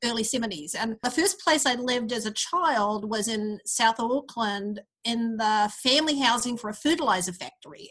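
A woman in her fifties from New Zealand with a softened mid-Pacific New Zealand accent, a professor telling her story as a guest over an online call in a chatty, upbeat way. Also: on the high side, poor audio. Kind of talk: casual interview over a call. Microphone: remote online call.

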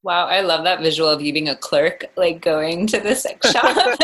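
A woman's voice: on the low side, lively intonation, measured pace, a bit bright. A woman asking informal, conversational questions as an interviewer.

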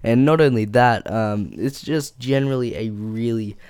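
A man with a croaky, flu-worn voice talks through a casual solo podcast, drifting between ums and exasperated rants. His tone stays smooth and resonant on a close boom-arm microphone. The foam-lined room sounds completely dry. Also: normal speed, a little mellow, no room sound. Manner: rambling, many ums, frustrated rants. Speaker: podcast host. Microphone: boom-arm studio microphone.

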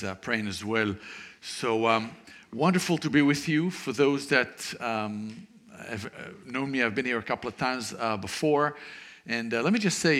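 A man in his fifties from Israel with an Israeli accent, giving an earnest sermon as a guest speaker, pausing often with fillers and restarts. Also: mid-range voice. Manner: warm, earnest, halting with frequent fillers and restarts.

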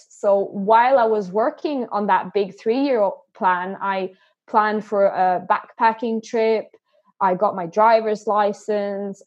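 A woman's voice: mid-range voice, highs cut off. A woman guest in a podcast interview, talking earnestly and often repeating words as she starts a thought. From Sweden and England. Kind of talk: conversational interview.

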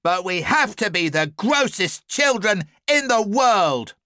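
A smug, grandiose young boy in a storybook, crowing in a very high, sweeping voice. Bright and slow, with a dry close sound. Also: sing-song, dry recording, exaggerated voice.

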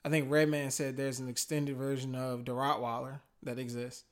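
A man chatting casually about music and movies in a slangy, animated way, laughing often. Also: medium pitch, normal speed.

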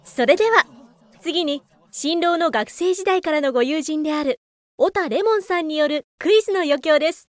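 A woman's voice: high voice, normal speed, no room sound, close to an everyday voice. A woman playing a polite wedding master of ceremonies, announcing formally and courteously in a radio drama. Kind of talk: Japanese radio drama acting.

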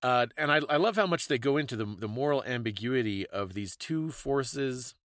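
A man's voice: medium pitch, lively intonation, normal speed, a bit bright, no room sound, clean studio sound. A man co-hosting a podcast, casually discussing folklore and stories in a thoughtful, explanatory way. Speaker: podcast co-host.

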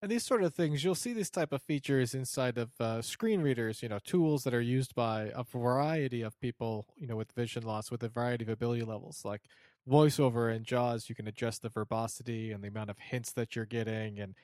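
A man hosts a relaxed conversation, summing up his guest's points and adding his own thoughts. His voice is at an ordinary middle pitch. The sound is dry and close, with no room echo. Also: brisk. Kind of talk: casual conversation.

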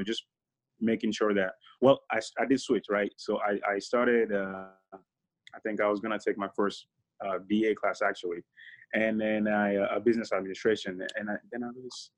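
Low-voiced man talking things over. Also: rough recording. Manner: relaxed, reflective, many fillers. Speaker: guest. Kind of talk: casual interview.

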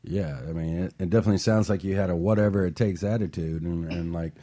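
A man's voice: sits low, fairly even intonation, fast, mellow. A male talk show host in casual chat, encouraging and affirming his guest.

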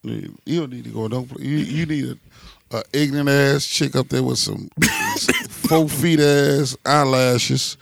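A man's voice: mid-range voice, wildly swooping pitch, unhurried, creaky, no echo. A man, co-hosting a podcast and telling crude, boisterous stories in casual chat. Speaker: podcast co-host.

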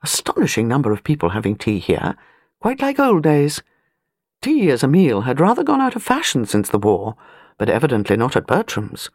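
British woman, audiobook narrator, literary storytelling, wry, precise, animated pitch, very dry recording. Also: moderate pace, no room sound.

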